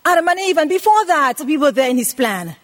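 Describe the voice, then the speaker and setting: A woman's voice: sits high, very animated, brisk. A woman in her eighties preaching a scripture lesson, emphatically repeating phrases and putting questions to her listeners. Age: 80s. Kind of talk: sermon teaching from scripture.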